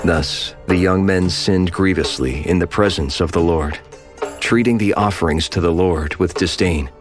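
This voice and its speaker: A man with a very deep voice narrates scripture in a steady, even way. Soft music runs underneath the studio recording. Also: moderate pace.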